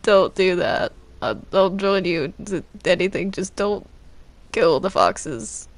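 A woman's voice: mid-range voice, slow pace, creaky, no room sound. A young woman playing a character in a game roleplay, talking casually and stammering when flustered. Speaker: roleplay player.

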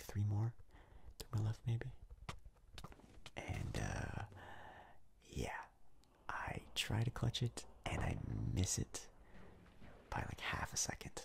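A man giving hushed, casual ASMR game commentary in a breathy, gravelly whisper, close to the mic. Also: fairly low pitch. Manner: hushed, casual, unhurried rambling. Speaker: gaming video host.

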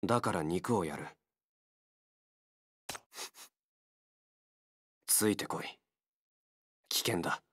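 An actor playing a wary, feral young man in a game, a gruff loner fighter with blunt, halting speech. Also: sits low, animated, fairly bright tone, a little hiss or hum. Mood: guarded, blunt. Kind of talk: Japanese game character voice acting.

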